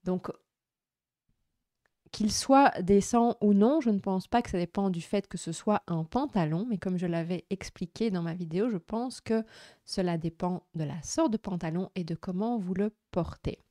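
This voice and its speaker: A woman hosting an explanatory religious vlog, speaking in a measured, didactic way. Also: medium pitch, warm tone, no echo, clean studio sound. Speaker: host answering viewer comments.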